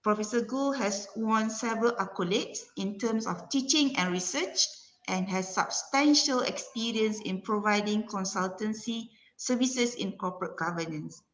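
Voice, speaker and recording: A woman with a Malaysian accent reading a formal speaker introduction as event moderator, halting and careful, stumbling over the odd word. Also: medium pitch, a bit bright. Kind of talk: formal scripted introduction read aloud. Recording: echoey room sound.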